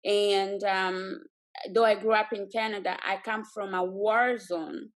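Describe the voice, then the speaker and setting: Earnest woman from Congo, heartfelt guest, mid-pitch voice, video call audio. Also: fairly even intonation, a little slow. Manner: earnest, heartfelt, with frequent fillers. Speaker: guest entrepreneur. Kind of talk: casual video chat.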